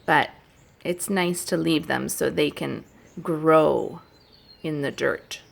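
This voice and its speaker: A woman who teaches young children, chatting and reading aloud gently in simple, unhurried phrases with little explanations. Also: sits low, vocal fry. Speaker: childcare educator leading story and song time for toddlers.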